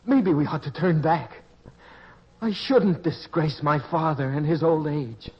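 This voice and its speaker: A man's voice: on the high side, a little slow, natural voice. A man playing an ashamed, ragged wanderer come home, contrite and tense, in old-time radio drama style. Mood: worried, ashamed. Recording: muffled lo-fi sound, faint noise.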